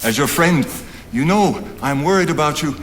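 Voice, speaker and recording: An actor playing a learned, courtly friend of a writer in a period stage play, speaking in formal, literary phrases. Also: male voice, fairly high pitch, animated, moderate pace, fairly bright tone. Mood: gently admonishing. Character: learned, courtly married man, Thoreau's fond, teasing older friend. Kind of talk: theatrical period stage dialogue. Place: on stage in a theatre. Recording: noisy stage recording in reverberant theatre.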